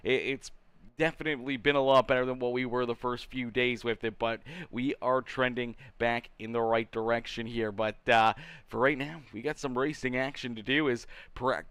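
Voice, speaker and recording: A man commentating a live sim racing league, energetic, with frequent fillers and restarts while fighting off a cold that leaves him congested and slightly nasal. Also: mid-range voice, moderate pace, clear, resonant tone, no echo.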